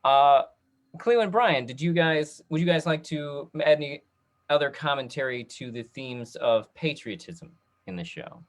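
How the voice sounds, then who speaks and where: A man's voice: a little high, measured pace. A man moderating a panel talk, putting questions to guests and reading out comments.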